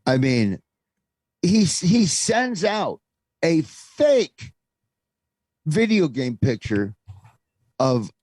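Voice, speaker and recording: A man's voice: fairly high pitch, sing-song, drawn-out words, pauses often, darkish tone, dry recording. A middle-aged American man hosting opinionated talk radio, speaking in an exasperated, emphatic way full of rhetorical questions. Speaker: talk show host.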